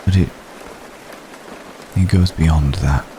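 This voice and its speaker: An ancient vampire gentleman, played by a man, speaks in a very deep voice at an unhurried, lingering pace. He is gentle, protective and formal, pausing hesitantly as in an intimate ASMR roleplay. Steady rain is clearly heard behind him. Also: a little hiss or hum. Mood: earnest, confiding. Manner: hesitant, measured, formal phrasing with long pauses. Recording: close recording with steady rain ambience.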